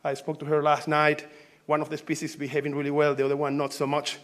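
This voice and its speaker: A man's voice: a little high, completely flat delivery, a bit bright. Venezuelan-born man giving a conference talk. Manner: conversational, halting, frequent fillers and restarts. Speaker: researcher presenting at a symposium.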